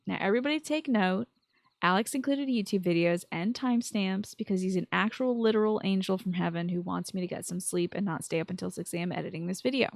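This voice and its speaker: A woman presents a scripted solo podcast in a medium-pitched voice. She is expressive and slips in enthusiastic asides. The sound is dry, with little trace of room. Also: vocal fry, no echo. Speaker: podcast host. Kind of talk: scripted solo podcast commentary on video games.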